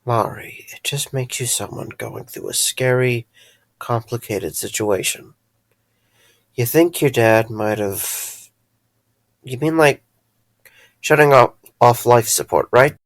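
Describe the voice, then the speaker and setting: A man as a gravelly, caring, wry friend. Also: mid-range voice, takes it slowly, long pauses, no echo. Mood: concerned. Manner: earnest, hesitant, dryly wry. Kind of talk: audio drama acting.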